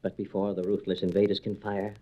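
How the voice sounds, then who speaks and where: A man's voice: low-pitched, completely flat delivery, brisk, highs cut off. A man narrating a scripted comic book story in dramatic style. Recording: old record with faint noise, clicks.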